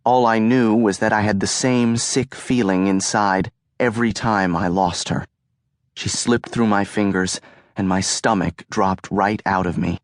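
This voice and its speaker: A man narrating an audiobook in the first person, telling the story in an earnest, measured way. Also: fairly low pitch, steady tone, no room sound, clean studio sound.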